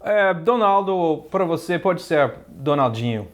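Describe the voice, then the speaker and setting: A man's voice: a little high, lively intonation, unhurried. A man playing a boastful fake politician. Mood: smug. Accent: American English. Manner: smug, brags, crude innuendo. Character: boastful fake Trump, later a scheming rival gringo. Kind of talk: comedy sketch interview.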